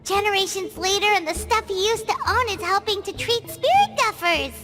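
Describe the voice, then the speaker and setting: A woman's voice: extremely high pitch, lively intonation, moderate pace, loud music behind the voice. An actress playing a small, cheerful, curious childlike fairy girl in a video game, chirpy and exclamatory. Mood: pondering.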